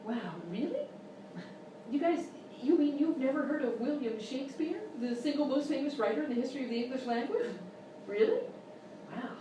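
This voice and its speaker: A woman's voice: sits high, expressive, moderate pace. A woman playing an exasperated, condescending quiz show host in a live radio-style comedy sketch. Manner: incredulous, scolding, mock-polite. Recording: very echoey live room, far mic, noisy.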